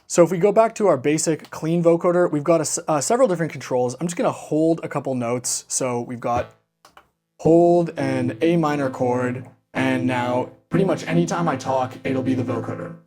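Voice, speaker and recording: A man's voice: mid-range voice, low-quality recording. An enthusiastic, chatty man hosting a casual synth walkthrough, speaking close into a studio condenser mic. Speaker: host of synth demo channel.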